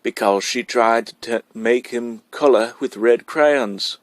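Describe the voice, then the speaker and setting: A man voicing a cheeky, boastful kindergarten boy, loud and excitable, as a storybook character. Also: mid-range voice, takes it slowly, no echo, lightly acted. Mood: explaining eagerly. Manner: insolent, excitable.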